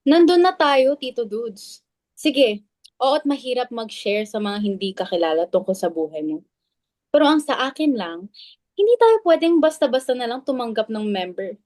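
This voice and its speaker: A woman's voice: a little high, big pitch swings, normal speed, a little mellow. A woman acting a blunt, suspicious, confrontational club member in a dramatic reading, insistent and accusing. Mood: insistent, wary.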